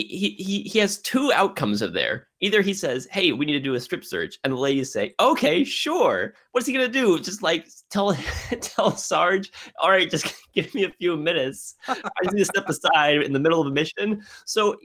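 A man's voice: a little high, sing-song, fairly quick, fairly bright tone. A man co-hosting a casual film discussion, often stammering and repeating his words as he makes his point. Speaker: podcast co-host.

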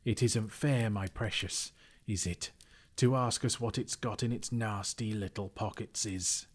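A man's voice: lowish voice, steady tone. A man voicing a slimy, sly cave creature who hisses and whispers, dragging out his words. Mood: whining. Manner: hissing, whispery, drawn-out words.

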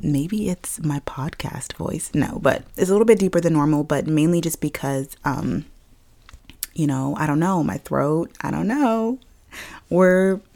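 A young woman with a low, gravelly voice chats through her podcast, raspy and a little scratchy today. She talks casually and loosely, laughing often and filling pauses with "like" and "um.". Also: a little mellow. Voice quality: raspy, slightly deeper than usual. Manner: chatty, laughs often, uses fillers like "like" and "um". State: scratchy throat. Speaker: podcast host. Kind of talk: casual solo podcast chat. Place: at home, filming herself.

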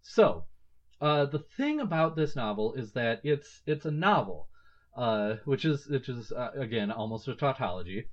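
A man's voice: medium pitch, expressive, normal speed. A man in his thirties hosting a casual book-discussion podcast, thinking aloud with many fillers and restarts. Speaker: podcast host. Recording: slightly muffled lo-fi audio.

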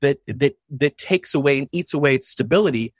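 Reflective man, mid pitch, long explanations, dry close mic. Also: fairly quick, breathy edge, no room sound. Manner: reflective, explains at length with rhetorical questions. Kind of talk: casual discussion.

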